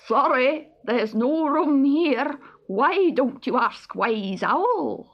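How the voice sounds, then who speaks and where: A woman's voice: high voice, animated, drawn-out words, highs cut off, pitched above the performer's own voice, heavily acted. A woman voicing a grumpy male otter in a storybook, curt and unhelpful. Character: gruff male otter woken from sleep.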